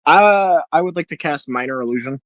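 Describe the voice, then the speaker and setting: A man's voice: fairly high pitch, expressive, fairly quick, a bit bright, no echo. Dry, close-sounding man playing a tabletop game. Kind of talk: casual tabletop game chat.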